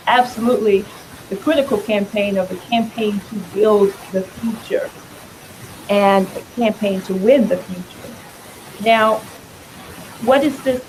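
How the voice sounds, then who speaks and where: A hoarse, raspy-voiced woman speaking to a room as a political candidate. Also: mid-range voice, measured pace, pauses often, background noise. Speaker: congressional candidate. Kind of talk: campaign speech.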